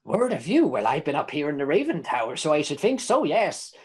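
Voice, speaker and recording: Guy doing a rambling locked-up informant, cheeky and chatty, kinda high voice. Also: very animated, brisk, husky. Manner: rambling, stammering, old-timey folksy phrasing.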